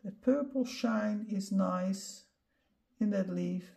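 A middle-aged Dutch woman talks through her painting in English, her second language. She speaks unhurriedly, with a mellow, soft tone, explaining each step calmly as she demonstrates. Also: mid-range voice, slow, vocal fry. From Netherlands. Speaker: art instructor. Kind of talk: painting tutorial voice-over.

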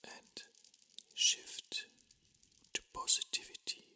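Very slow whispered ASMR meditation guide. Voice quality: breathy, unvoiced whisper. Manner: soft, soothing, slow phrases with long pauses. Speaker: meditation guide, Reiki master.

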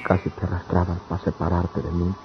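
A man's voice: low voice, completely flat delivery, a little fast. An actor playing a poor, lovelorn and anguished young country man in a radionovela, speaking plaintively in rustic speech. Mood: sorrowful. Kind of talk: radionovela acting. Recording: dull, noisy old radio drama recording.

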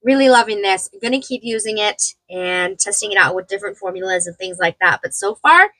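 A woman's voice: medium pitch, animated, brisk, bright, forceful tone, slight background noise, low-quality recording. A young woman getting over a cold, casually reviewing makeup in a small room at home, rambling and chatty with many fillers. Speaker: beauty vlogger.

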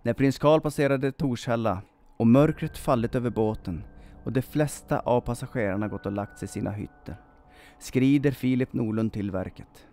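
A man in his thirties reading a scripted true-crime story in a measured, dramatic storytelling manner. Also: mid-range voice, dark tone. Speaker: storyteller, channel host. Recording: music under the voice. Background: background music.

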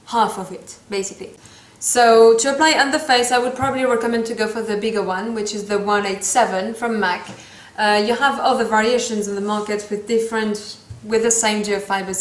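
A woman in her thirties speaks English with a French accent, her voice sitting a little high. She chats through a makeup tutorial in a playful, loose way, pausing to hunt for English words. The camera mic catches the echo of a small room. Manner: chatty, playful asides, searching for English words. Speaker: makeup vlogger. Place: small room indoors, seated.

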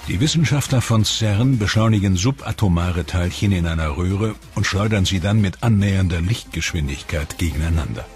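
A male documentary narrator with a very deep, low voice delivers measured, explanatory scripted lines. Clear music plays underneath throughout. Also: expressive, darkish tone.

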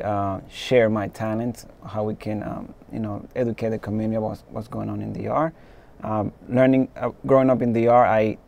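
A man in his thirties from the Dominican Republic talks in a gravelly, low, flat voice with a dark tone. He introduces himself on a studio talk show at a measured pace, with frequent pauses. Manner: measured, many uh fillers. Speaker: guest, filmmaker.

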